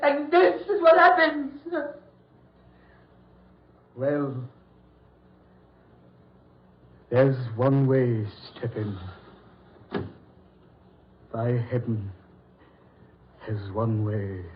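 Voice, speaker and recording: An actor playing an obsessed, desperate mad doctor in a theatrical, raving 1940s radio horror style. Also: male voice, medium pitch, very animated, very slow, pauses often, a little hiss or hum. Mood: betrayed rage. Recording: muffled old radio recording, echoey.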